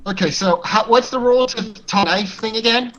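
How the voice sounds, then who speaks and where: A man's voice: sits high, normal speed, muffled recording, over music. A man talking casually as a player working through his turn in a tabletop role-playing game.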